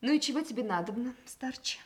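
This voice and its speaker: A woman's voice: on the high side, animated, fast talker, natural voice. An actress in a radio play, playing a proud, ironic woman who teases her old love. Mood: mocking, teasing. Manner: ironic, teasing, literate.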